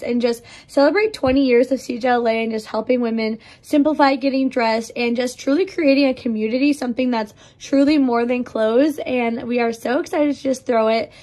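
A young woman with a slightly raspy voice from losing it, chatting bubbly to viewers in a try-on vlog, filmed on a phone in a mirror in a bright living room. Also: high-pitched. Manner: bubbly and chatty, addressing viewers as you guys. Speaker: clothing brand try-on host. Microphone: phone held up for a mirror selfie.

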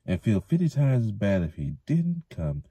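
A stout, jovial village mayor who runs the inn and speaks with easy authority, as a male narrator voices him. His tone is hearty and lifted, with a husky grain. The sound is close and dry. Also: fairly low pitch, sing-song, moderate pace, mellow, dry recording, voice pushed up, full character voice. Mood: earnest. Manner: hearty, knowing.

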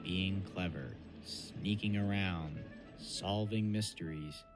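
A man's voice: low voice, takes it slowly, music in the background. A man reading scripted storybook narration for a cartoon dub.

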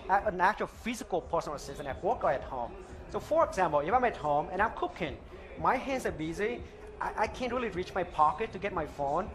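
A man in his thirties with a thick Vietnamese accent, earnestly pitching a product live on stage on a headset mic. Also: a bit bright. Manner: earnest, halting non-native phrasing. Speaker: startup founder pitching. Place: open-air stage at a trade show.

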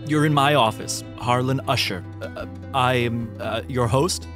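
A man's voice: medium pitch, measured pace, over music, close to an everyday voice. A man voicing a flustered, apologetic hotel proprietor who stammers and repeats himself nervously.

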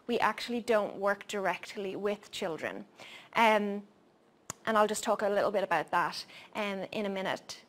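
An Irish woman in her forties, a program coordinator giving a workshop talk in a lecture room and leading a mindfulness exercise, speaking softly with frequent "um" pauses into a handheld mic. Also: medium pitch. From Ireland. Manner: soft-spoken, frequent "um" pauses.

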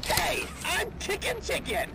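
Playful game toy animal: high, hugely animated, noisy ambience behind. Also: measured pace, heavily acted. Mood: casual, friendly. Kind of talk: video game character acting.

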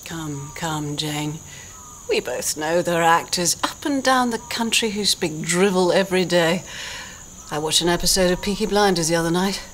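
A woman's voice: lowish voice, normal speed, fairly bright tone, a little hiss or hum, lightly acted. A middle-aged British woman playing an imperious, breezy and scornful radio drama boss in a comedy soap, with a theatrical manner. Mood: exasperated. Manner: theatrical, haughty.

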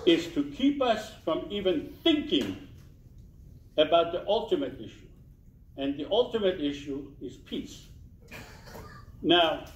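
Man, 60s, panel speaker, emphatic and deliberate; distant handheld-mic sound, dull and lo-fi. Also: high-pitched, roomy sound. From Israel. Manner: earnest, emphatic, repeats key phrases. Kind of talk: public talk at a community panel. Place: small meeting room indoors. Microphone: handheld mic, filmed from a distance.

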